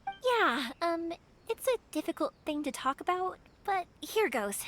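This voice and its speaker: An actress playing a cheerful young fireworks maker in a video game, bubbly and eager. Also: female voice, very high-pitched, big pitch swings, a little slow, fairly bright tone, slight background noise, exaggerated voice. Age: young adult. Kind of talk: game voice acting.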